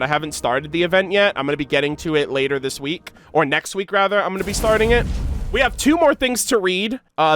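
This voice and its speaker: A man's voice: high-pitched, a bit bright. A man hosting a gaming reaction video, commenting casually in slangy, sweary, informal language. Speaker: reaction video host, streamer. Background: faint music.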